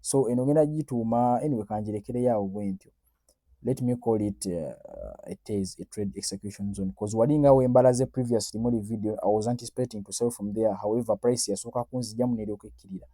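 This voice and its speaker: A man's voice: fairly low pitch, fast talker, dark tone, no room sound. A Ugandan man with a Ugandan accent giving a casual forex chart breakdown, mixing Luganda and English in a chatty, boastful way. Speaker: forex trading analyst. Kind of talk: casual screen-recorded chart analysis.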